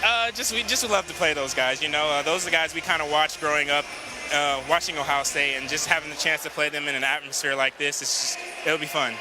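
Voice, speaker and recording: A man's voice: a little high, fairly even intonation, fast, over music, poor audio. A young man basketball player answering postgame questions modestly, with many fillers. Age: young adult. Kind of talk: postgame interview.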